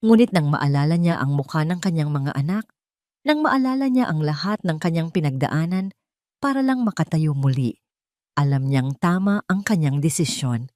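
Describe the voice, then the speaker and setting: Lowish-voiced woman narrator, dry sound. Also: lively intonation, moderate pace, a little mellow, dry recording. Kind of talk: scripted dramatic story narration.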